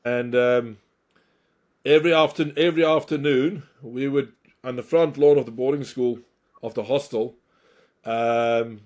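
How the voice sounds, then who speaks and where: A man's voice: mid-range voice, steady tone, measured pace, long pauses, darkish tone. A man hosting a podcast, asking questions in a friendly, chatty way. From South Africa. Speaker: podcast host, interviewer. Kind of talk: casual interview.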